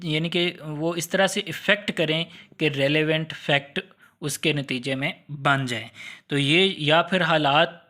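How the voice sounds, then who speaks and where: A man's voice: a little high, sharp tone. A man with a Pakistani accent giving an explanatory law lecture in a conversational way, rephrasing and posing questions as he goes.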